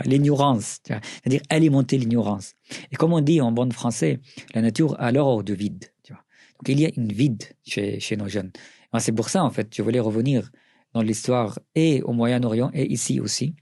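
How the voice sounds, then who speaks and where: Man with a Syrian accent, gravelly and husky, mellow tone, dry close sound, earnest interview talk. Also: mid-range voice, no echo. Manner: earnest, with frequent hesitations and restarts. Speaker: writer and journalist, interview guest. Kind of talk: conversational interview answers.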